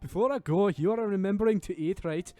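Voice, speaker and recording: A man's voice: high voice, fairly quick, warm tone, dry recording, soft background music, pitched above the performer's own voice, lightly acted. A man voicing a fussing, affectionate middle-aged Scottish mother in a visual novel, chiding and doting.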